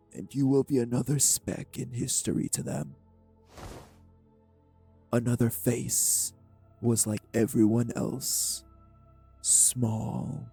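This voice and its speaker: A man playing a cold, manipulative vampire villain in an audio roleplay, speaking with deliberate, condescending menace in a husky, gravelly voice. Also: mid-range voice, warm tone, exaggerated voice. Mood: condescending, cruel. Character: cold, manipulative male vampire taunting his captive. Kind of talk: dramatic audio roleplay acting.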